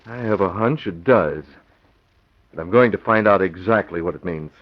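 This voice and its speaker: Radio drama lead: shrewd masked vigilante, low commanding voice, clipped and decisive, dull vintage recording. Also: male voice, normal speed. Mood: calm, decisive. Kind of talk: old-time radio drama acting.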